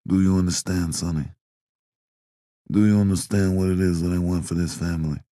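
A solemn old crime boss, as a narrator puts him on, slow and weighty in every word. His voice is low, dark and dry. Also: little pitch movement, no room sound, natural voice. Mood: grave. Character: aging male crime boss, grave and measured.

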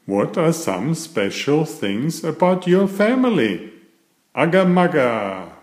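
Male storybook narrator for young children, unhurried and animated in pitch, mid-pitched voice, recorded in an echoey room. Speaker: storyteller and channel host. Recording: roomy, echoing room sound.

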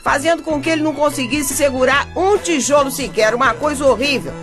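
Radio soap narrator: woman as gossipy, indignant Brazilian wife, high voice, outspoken and chatty, music underneath. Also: fairly quick, natural voice. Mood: exasperated, gossipy. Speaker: actress narrating a radio drama. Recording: music playing behind the voice.

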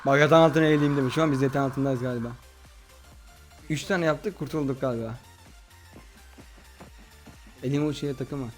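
A man's voice: mid-range voice, fairly even intonation, a little fast, long pauses. A man chatting casually as a gaming host, bossy as he instructs and complains. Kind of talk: casual let's-play chat with a friend. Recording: music behind the voice. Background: background music.

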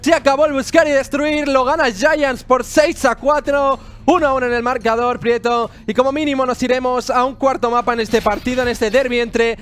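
A man's voice: extremely high pitch, steady tone, normal speed, a bit bright, faint music behind. A man with a Spanish accent from Spain giving live commentary on a video game match.

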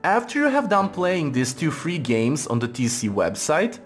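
Male tutorial narrator, scripted, formal and measured, animated pitch movement, background music. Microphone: voice-over for screen recording.

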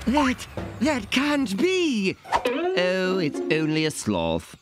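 A man voicing an exasperated, brainy gorilla sidekick in a cartoon, dry and sarcastic, over loud music. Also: high-pitched, huge pitch swings, extremely slow, full character voice. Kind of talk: cartoon voice acting.

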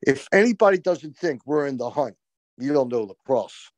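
An older man co-hosting a postgame lacrosse show over a video call, talking with emphatic, opinionated excitement. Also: medium pitch, normal speed, dry recording. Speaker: co-host, college lacrosse analyst. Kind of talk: excited postgame sports talk. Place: small room indoors. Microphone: video call webcam.

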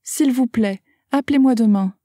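A woman's voice: fairly high pitch, moderate pace, mellow, dry recording, studio quality. A woman carefully reading short phrases aloud for a language lesson, enunciating each one. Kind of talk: slow scripted phrase reading.

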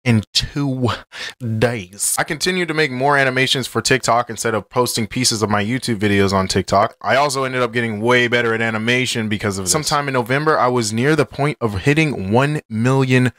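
A man's voice: mid-range voice, brisk, a bit bright, dry recording, clean studio sound. A young man narrating a scripted personal story as an online animator and video creator.

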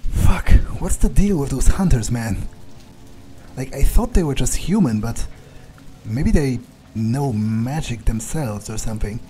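A man improvising in-character game narration, halting and sweary as he mutters to himself. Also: medium pitch, lively intonation, warm tone, faint music behind. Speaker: player role-playing his game character.

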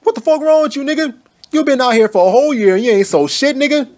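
A man's voice: extremely high pitch, quick pace. A man doing comedic, profane dub skits in a slangy, combative manner. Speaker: comic voice-over dubber.

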